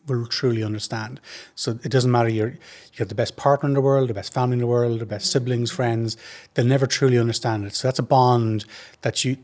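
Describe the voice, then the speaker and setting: An Irish man of mid pitch speaks as a trauma surgeon in an interview. He talks in an earnest, measured way and often restarts his sentences as he thinks them through. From Ireland. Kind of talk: reflective interview answers.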